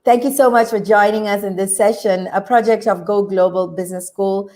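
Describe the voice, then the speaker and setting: Woman host, eager and upbeat, medium pitch. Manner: excited, fast and bubbly. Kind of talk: energetic interview hosting.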